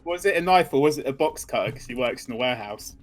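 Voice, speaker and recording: Deadpan man commentating over clear game music. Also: mid-range voice, quick pace. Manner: dry, deadpan mock-official humour. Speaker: let's play co-host. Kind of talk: casual joking gameplay commentary.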